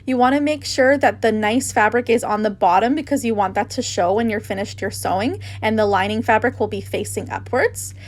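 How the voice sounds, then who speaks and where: A woman's voice: a little high, brisk, hardly any pauses. A woman hosting a craft tutorial, narrating casually with gushing enthusiasm.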